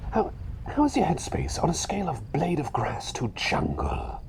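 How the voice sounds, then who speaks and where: Man acting kindly talking bird, scatterbrained ASMR doctor, swooping pitch, creaky soft whispery voice, background ambience. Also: wildly swooping pitch, normal speed, background noise. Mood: friendly, rambling. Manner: rambling, soothing, whimsical, often dropping to a whisper. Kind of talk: ASMR roleplay, personal attention.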